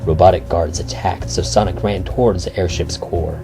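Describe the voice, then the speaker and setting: A man with a low voice reads a rhyming bedtime adventure. His delivery is theatrical and measured, in the manner of a storybook narrator. Music plays clearly under the voice. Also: steady tone. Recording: music behind the voice.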